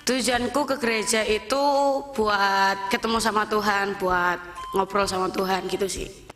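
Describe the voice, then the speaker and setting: A woman's voice: medium pitch, fairly even intonation, measured pace, over music. An eighteen-year-old woman answering thoughtfully and sincerely. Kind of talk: casual interview answers.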